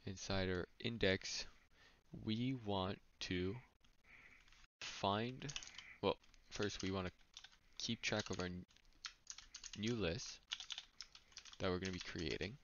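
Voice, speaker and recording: A man's voice: low-pitched, slow, pauses often. A man explaining code as he types, thinking aloud with small slips. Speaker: coding tutor. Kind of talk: screen-recorded coding walkthrough. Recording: dull lo-fi mic with noise. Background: keyboard typing and clicks.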